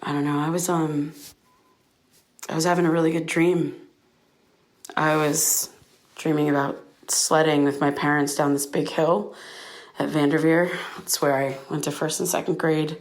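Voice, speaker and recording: Low-voiced, hesitant young woman, played by an actress. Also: little pitch movement, close to an everyday voice, rough recording. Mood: shaken, rambling. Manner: halting, hesitant, rambling. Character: anxious, sleepless young woman recording a personal audio diary. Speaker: lead actor in a fiction podcast. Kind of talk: naturalistic audio drama acting, recorded diary.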